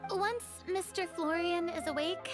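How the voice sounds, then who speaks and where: A woman's voice: very high-pitched, steady tone, unhurried. An actress voicing a chirpy, theatrical young woman in game dialogue. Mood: chatty. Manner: chirpy, dramatic, says quaint farewells. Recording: game audio with music behind.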